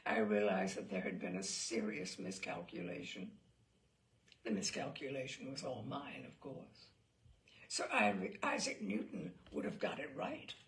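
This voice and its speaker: Woman reading a story out loud, super gravelly creak, really slow n theatrical, lots of pauses. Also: fairly high pitch. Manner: theatrical, with deliberate pauses and emphatic phrasing. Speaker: reader of a story. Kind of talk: dramatic storytelling read aloud.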